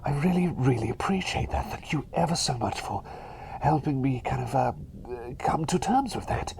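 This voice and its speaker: A man playing a cheery, eccentric, gentle plague doctor in a whispered, rambling and soothing ASMR roleplay. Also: mid-range voice, animated, moderate pace, creaky, background noise. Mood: relieved, grateful.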